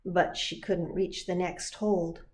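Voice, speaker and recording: A woman narrating a children's picture book in an expressive, deliberate storytelling manner. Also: fairly low pitch. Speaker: pastor reading a picture book.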